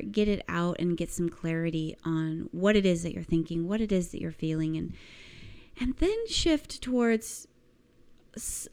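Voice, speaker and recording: Slow-talking woman coach, slightly croaky. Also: mid-range voice, a little mellow. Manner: deliberate, emphatic, with rhetorical questions and repetition. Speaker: life coach giving a lesson. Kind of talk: motivational coaching talk to camera.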